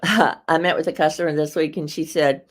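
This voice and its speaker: Casting: woman host, low and a touch raspy, chatty and rambling. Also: fairly even intonation, normal speed. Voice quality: slightly raspy. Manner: chatty, rambling, laughs often, warm asides to viewers. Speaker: host presenting beauty products. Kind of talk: casual live product demo.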